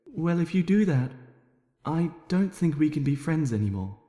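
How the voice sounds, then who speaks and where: A man voicing a shy, gentle young female pony with a hesitant, soft-spoken manner in an amateur story reading. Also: fairly high pitch, sing-song, moderate pace. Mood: gentle questioning. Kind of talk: amateur fan fiction audiobook character voice. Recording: echoey room with long reverb.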